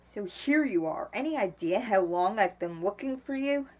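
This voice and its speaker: Woman playing a calm, weary man, understated. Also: mid-range voice, moderate pace, lightly acted. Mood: hushed urgency. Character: calm, weary, wry grown man and father.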